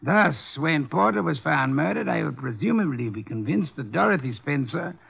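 A pompous older male detective in vintage radio drama style. His voice is fairly high for a man, gravelly and husky. He lectures in precise, learned phrases on a muffled 1950s recording. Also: animated, moderate pace, full character voice. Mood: lecturing, self-satisfied. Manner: pompous, erudite, precise diction.

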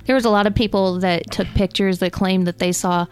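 A woman's voice: mid-range voice, fairly even intonation, a little fast, clean tone. A woman with a slight Southern US accent chatting casually as a podcast co-host. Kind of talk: casual chat. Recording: music behind the voice. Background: music.

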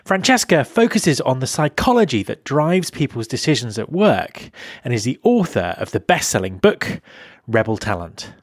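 Male interview host, animated delivery, dry close sound, hesitant when asking questions. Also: mid-range voice, moderate pace, no room sound. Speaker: podcast host. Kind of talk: podcast interview.

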